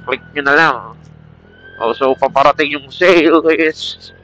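A man's voice: on the high side, steady tone, unhurried, pushed and bright, a little hiss or hum. A man with a Filipino accent chatting casually as a motovlogger while riding, recorded through a helmet intercom mic. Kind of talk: casual rambling vlog talk with product pitch. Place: outdoors, riding a motorcycle at night. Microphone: Bluetooth helmet intercom mic. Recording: muffled helmet intercom mic, band-limited.

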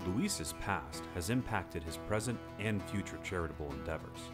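Low voiced guy reading doc narration, calm formal, guitar music playing behind. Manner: measured, formal. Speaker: documentary narrator. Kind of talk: scripted narration.

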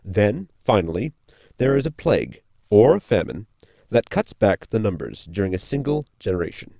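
Guy doing a polite nerdy robot for sci fi radio comedy, super up and down pitch, lots of fry, formal and precise. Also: big pitch swings, normal speed, warm tone, no room sound, studio quality. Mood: precise, explanatory. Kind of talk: sci-fi comedy audio drama acting.

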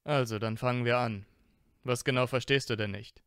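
A man's voice: medium pitch, fairly even intonation, normal speed, fairly bright tone, clear, resonant tone, dry recording, clean studio sound, natural voice. A man playing a teasing, self-assured teenage wizard student in a fan audio drama. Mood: matter-of-fact. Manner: teasing, probing.

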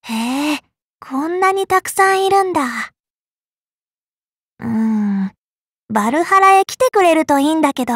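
A woman's voice: high-pitched, animated, unhurried, long pauses, fairly bright tone, dry recording, studio quality. A woman playing a friendly, curious young valkyrie in an anime game, girlish and informal. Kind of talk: anime mobile game voice acting.

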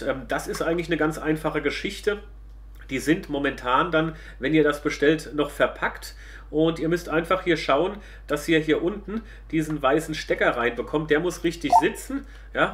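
A middle-aged man, casually explaining a product to viewers as he reviews it. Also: fairly high pitch. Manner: explanatory, addresses viewers directly.

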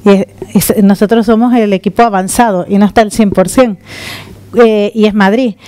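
A middle-aged woman from the Canary Islands giving a lively conference talk on stage with a handheld mic. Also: mid-range voice, normal speed, no echo. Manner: lively, gesturing while walking on stage. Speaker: conference speaker. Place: conference room with audience. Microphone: handheld microphone.

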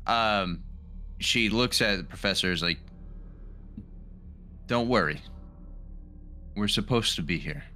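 Deep voiced guy at game night, slowish, kinda searching for words. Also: pushed and bright. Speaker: tabletop game player. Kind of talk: casual game talk. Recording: faint background noise.

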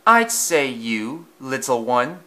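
A man's voice: medium pitch, sing-song, slow pace, pushed and bright, heavily acted. A man voicing a pompous, boastful big male steam engine who talks in a grand, haughty way.

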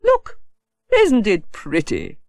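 A man doing a fluttery high old village lady. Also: wildly swooping pitch, slow, creaky, no echo, exaggerated voice. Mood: delighted. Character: fussy elderly village lady, Miss Hubbard.

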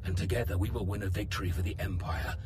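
Video game villain male actor: menacing Sith lord, deep voice, deliberate imperial grandeur, loud music underneath. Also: steady tone, lightly acted. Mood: grave, resolute. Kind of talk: cinematic video game acting.

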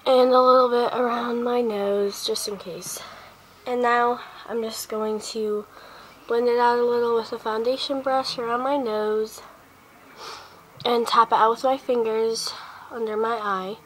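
A woman's voice: on the high side, steady tone, a little slow, a bit bright. A teenage girl who is sick and sniffly, talking casually through a makeup routine step by step in her bedroom. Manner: chatty, step-by-step, talking while applying makeup. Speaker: beauty vlogger. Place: small bedroom indoors. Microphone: handheld device camera close up. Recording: faint background noise, lo-fi device mic.

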